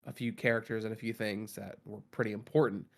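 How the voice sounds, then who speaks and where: A man with a gravelly voice co-hosting a casual podcast, giving a long, loose explanation full of ums and restarts. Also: fairly low pitch, level tone, fast talker. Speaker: podcast co-host.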